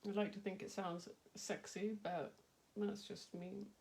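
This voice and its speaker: A woman's voice: mid-range voice, flat delivery, a little slow, gravelly, a little mellow. A middle-aged woman with a slightly nasal voice, hosting a casual craft live stream, chatty and rambling, laughing often and greeting viewers by name. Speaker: craft livestream host.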